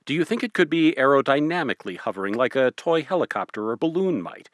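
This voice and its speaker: A man's voice: mid-range voice, lively intonation, fast, dry recording, studio quality, lightly acted. A man playing a tentative, rational professor in an audio drama, hesitant and musing.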